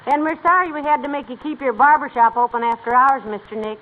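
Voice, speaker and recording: Thin vintage radio sound with a woman playing a sweet wife, pitched high. She is patient and gently chiding, in the manner of 1940s comedy acting. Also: quick pace, narrow, tinny audio, slight background noise, natural voice. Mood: sweet, friendly.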